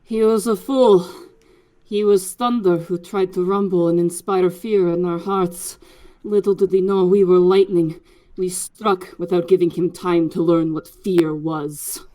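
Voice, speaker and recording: A woman's voice: on the low side, steady tone, measured pace, dark tone. A woman playing a tabletop role-playing game, speaking as her character.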